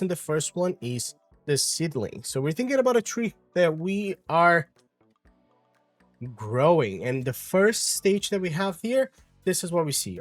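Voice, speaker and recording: A man's voice: on the high side, dry recording. A man in his forties with a Brazilian Portuguese accent, an English teacher patiently explaining his course step by step.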